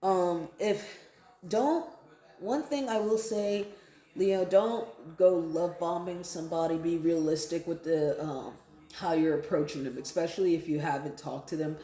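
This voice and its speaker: A woman's voice: on the low side, unhurried, dark tone, reverberant. A woman giving a casual, improvised tarot reading, talking directly to her viewers in a conversational, repetitive way and often checking in with them. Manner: conversational, repeats phrases, often checks in with "right?" and "okay?". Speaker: tarot card reader.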